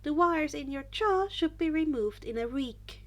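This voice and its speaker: Woman voicing a helpful male doctor, pitched high. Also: expressive, measured pace, a bit bright, heavily acted. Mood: matter-of-fact, reassuring. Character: matter-of-fact male doctor reassuring a young patient.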